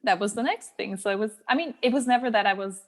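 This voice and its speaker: Female guest, German with a blended accent, halting and casual. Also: on the high side. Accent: German with American and Australian traces. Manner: hesitant, many fillers and restarts, laughs. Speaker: podcast guest. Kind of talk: casual conversational interview.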